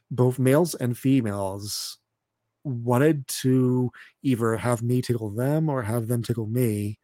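A man in his thirties chatting casually as a podcast host, halting and often repeating words as he goes. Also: warm tone, dry recording. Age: 30s. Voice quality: deep voice. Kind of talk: casual chat.

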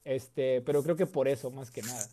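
A man from Mexico with a Mexican accent, a guest telling relaxed, chatty stories with many fillers and repeated words. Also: mid-range voice, moderate pace. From Mexico (Coahuila). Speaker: guest, content creator. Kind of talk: casual storytelling chat.

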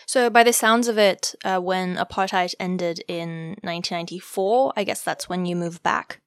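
A woman interviewing a guest, asking curious questions in a gently encouraging way. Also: on the low side, normal speed, no room sound, studio quality.

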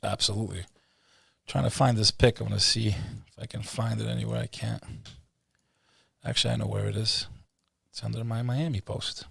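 Fast-talking gravelly man hosting. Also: lowish voice, steady tone, no room sound, studio quality. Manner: rambling, name-dropping, gives shout-outs. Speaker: podcast host. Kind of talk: casual interview chat.

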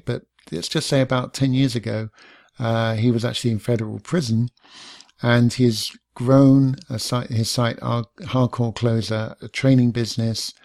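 Laid-back British man, smooth gravelly voice. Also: medium pitch, a little mellow, dry recording, clean studio sound. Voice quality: smooth radio voice. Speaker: podcast host. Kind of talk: interview podcast.

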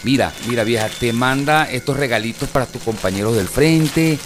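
An affectionate Venezuelan teenage son, played by a man in a radio drama. His mid-pitched male voice is colloquial and fond, repeating words, with clear music underneath. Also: close to an everyday voice. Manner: colloquial, affectionate, hurried repetitions. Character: affectionate fifteen-year-old son visiting in secret. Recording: music behind the voice.

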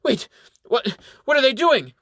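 Indignant pious monk, high and loud, shrill outrage, dry close sound, a man puts him on. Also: sing-song, a little fast, no room sound, heavily acted. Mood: panicked.

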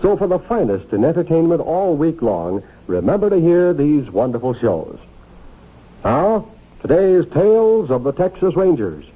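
A man's voice: fairly high pitch, sing-song, normal speed, husky. A man announcing in a polished, upbeat 1950s radio promotional style. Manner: polished, upbeat sales delivery. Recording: narrow-band, tinny old radio recording.

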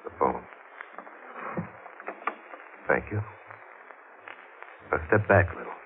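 Low-voiced, menacing American gunman. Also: expressive, slow, full character voice. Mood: taunting, controlled. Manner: curt, commanding, sardonic. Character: menacing injured male gunman, coolly controlling intruder. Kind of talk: old-time radio thriller acting. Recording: muffled, noisy old radio recording.

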